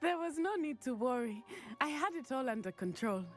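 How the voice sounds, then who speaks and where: A woman's voice: high voice, big pitch swings, moderate pace, soft background music. A woman playing a bold, self-assured teenage witch schoolgirl in a video game, confident and playful. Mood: amused, confident.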